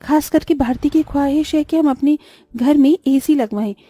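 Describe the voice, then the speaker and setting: A woman voicing a poor, dutiful and caring young elder daughter in a cartoon, earnest and gentle. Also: sits high, moderate pace, no room sound, natural voice. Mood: wistful, hopeful. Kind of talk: Hindi moral-story cartoon voice acting.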